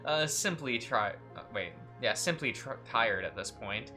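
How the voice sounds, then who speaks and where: A man's voice: medium pitch, expressive, a little slow, over music. A young man hosting a let's play, chatting casually, laughing often, and reading game text aloud with frequent stumbles.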